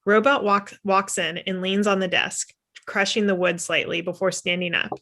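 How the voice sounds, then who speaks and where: A middle-aged woman narrates a script's stage directions. Her delivery is plain, and her voice sits at a middle pitch. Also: little pitch movement, normal speed, a bit bright. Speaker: reader of screen directions. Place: indoor room at home, video call. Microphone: computer mic on video call.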